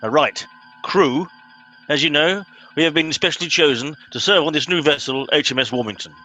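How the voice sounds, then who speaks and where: A man's voice: a little high, moderate pace, sharp tone, soft background music, rough recording. A man with an English accent playing a pompous, impatient middle-aged Home Guard captain in a comedy radio play. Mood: officious. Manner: officious, impatient.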